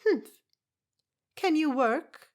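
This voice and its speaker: A woman's voice: high voice, animated, very slow, pitched above the performer's own voice, heavily acted. A woman voicing a tiny, brusque foreign herbalist woman who speaks in clipped broken English with a foreign accent. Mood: gruff, pushy. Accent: foreign, unplaced.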